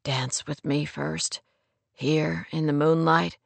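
Woman voicing a low, hushed, lovesick man. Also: fairly even intonation, takes it slowly, creaky, no room sound, exaggerated voice. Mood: guarded, inviting. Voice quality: raw, low murmur. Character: lovesick man, anguished, pleading, tender. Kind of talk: romance audiobook character dialogue.

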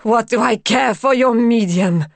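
A woman's voice: fairly high pitch, measured pace, vocal fry, no room sound. A woman voicing a harsh, remorseless mother wild with grief in a dramatic storybook reading. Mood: fierce demand. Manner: fierce, impassioned cries. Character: grief-crazed mother, harsh and remorseless, demanding her child.

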